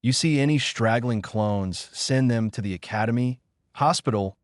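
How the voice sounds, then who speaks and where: A man's voice: mid-range voice, moderate pace, no echo, studio quality, natural voice. A man reading the part of a commanding young male ninja fighter in a story, terse and authoritative.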